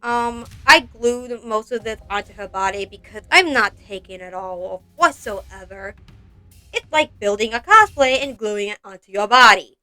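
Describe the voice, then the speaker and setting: A woman's voice: fairly high pitch, animated, normal speed, bright, forceful tone. A woman narrating a casual craft vlog in a rambling, self-deprecating and exclamatory way, as the creator of doll customization videos.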